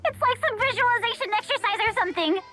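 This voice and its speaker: An actress playing a chatty, gossipy young woman in a video game, dramatic and snarky with drawn-out emphasis. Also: extremely high pitch. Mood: exasperated, gossipy. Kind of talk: animated video game voice acting. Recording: studio voice over background music.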